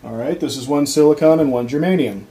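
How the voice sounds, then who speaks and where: A young man explaining a guitar circuit on his workbench, casual and halting, with frequent ums and restarts, recorded on a camera mic. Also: fairly high pitch. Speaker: electronics hobbyist demonstrating a circuit. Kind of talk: casual off-the-cuff tutorial. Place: workbench at home, indoors.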